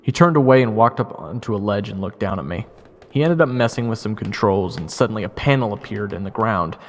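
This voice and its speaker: A man's voice: on the low side, expressive, brisk, slight background noise. A man giving scripted first-person narration of a fantasy story.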